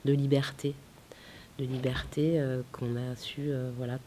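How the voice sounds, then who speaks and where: A woman's voice: extremely low pitch, level tone, unhurried, mellow. A woman film director in an interview, speaking thoughtfully with many hesitations and restarts, her first language Italian. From France. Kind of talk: festival interview. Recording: faint hiss.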